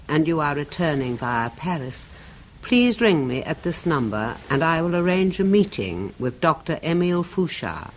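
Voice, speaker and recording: A grieving widow. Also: female voice, fairly low pitch, low-quality recording. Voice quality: earnest, restrained emotion. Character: grieving woman, the dead man's widow. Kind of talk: 1970s radio drama acting.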